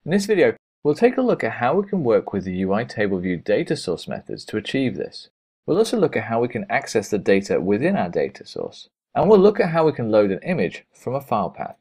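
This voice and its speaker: A man's voice: medium pitch, wildly swooping pitch, a little fast, a little mellow, husky. A man narrating a step-by-step programming screencast as an instructor, in a methodical, explanatory way.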